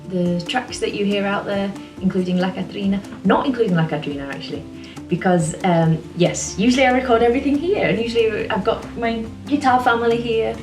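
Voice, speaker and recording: A singer-songwriter woman talking casually and rambling a little about her music from her small home studio. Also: mid-range voice. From England. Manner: chatty, relaxed, rambling. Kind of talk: casual talk to camera. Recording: roomy, a little distant from the mic, music behind.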